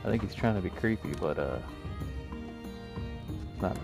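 Deep gravelly man, teasing game banter. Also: animated, moderate pace, over music. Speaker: role-play player. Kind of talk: casual in-character game banter.

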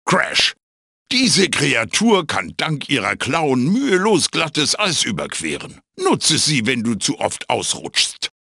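A man playing a wise, fatherly magical wooden mask in a video game, with a theatrical, instructive manner, dry humor and a gravelly, raspy voice. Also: mid-range voice, wildly swooping pitch, takes it slowly, bright, forceful tone, dry recording, heavily acted. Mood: encouraging, instructive. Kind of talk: video game character voice acting, tutorial guidance.

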